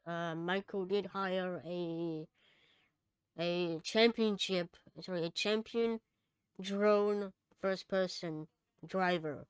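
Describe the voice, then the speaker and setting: A woman co-hosting a casual podcast, chatting and reading out news items, somewhat halting when she reads aloud. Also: on the low side, fairly even intonation, smooth, no room sound. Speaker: podcast co-host.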